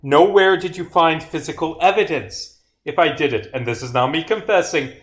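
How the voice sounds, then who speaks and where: A man's voice: on the high side, moderate pace, reverberant, voice pushed up, lightly acted. A man voicing a smug, theatrical female murderer who gloats and taunts in a visual novel game.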